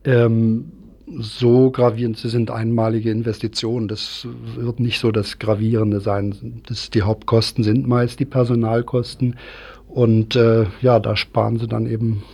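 Mellow-voiced man explaining haltingly. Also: medium pitch, level tone, vocal fry, slightly rough. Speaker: transport activist, interview guest. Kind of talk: unscripted radio interview.

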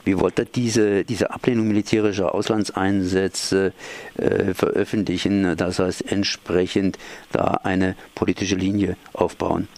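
A man's voice: sits low, flat delivery, measured pace, darkish tone. A man asking questions as the interviewer in a conversational radio interview. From Germany.

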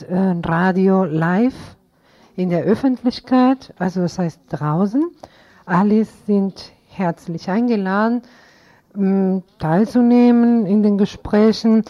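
A woman presenting radio announcements informally, with halting, improvised phrasing. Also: medium pitch, no room sound. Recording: dull, dry lo-fi radio recording.

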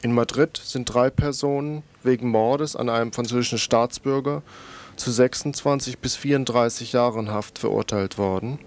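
A man's voice: medium pitch, moderate pace. A man presenting a scripted radio news report in a matter-of-fact way, with small stumbles in his reading.

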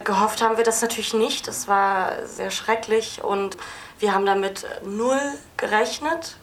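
A woman with a medium-pitched voice answers questions as a film director at a live audience talk. She explains things spontaneously, with hesitant pauses. There is faint noise and venue ambience on the recording. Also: steady tone. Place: film festival screening venue. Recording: noisy live event recording.

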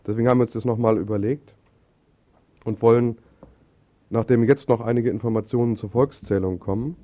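A man's voice: mid-range voice, fairly even intonation, a little fast. A man hosting a live radio broadcast, making an announcement to listeners. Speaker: radio host. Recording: tinny band-limited 1980s radio broadcast.

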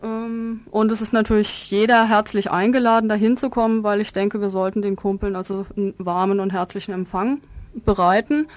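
Woman interviewee, halting and even-toned. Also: medium pitch, no room sound. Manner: hesitant, halting delivery with frequent ums. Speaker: activist interviewed as a guest. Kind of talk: radio interview, spontaneous political explanation.

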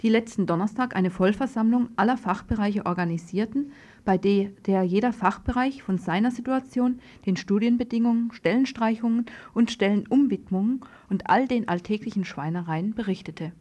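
A woman's voice: mid-range voice, normal speed, warm tone. A woman radio presenter reading a scripted political news report.

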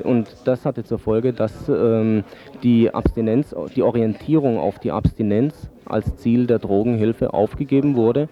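A man giving formal, measured explanations as an expert guest in a radio interview, with dull lo-fi sound. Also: fairly low pitch, dark tone. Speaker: drug-aid expert guest.

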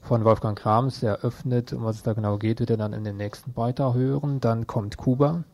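A man presents radio news. His voice has a medium male pitch and a dry sound with almost no room around it. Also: fairly even intonation, a little fast, darkish tone, dry recording.

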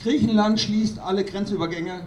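A man delivering a political speech at a protest rally in an emphatic, accusatory, declamatory way with deliberate phrasing. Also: sits high, fairly even intonation, darkish tone. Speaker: activist doctor speaking at a protest rally. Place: outdoor rally. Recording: distant outdoor mic with clear noise.

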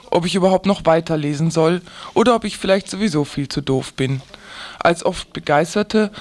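A woman's voice: on the low side, darkish tone. A woman reading a scripted, critical commentary for a radio programme.

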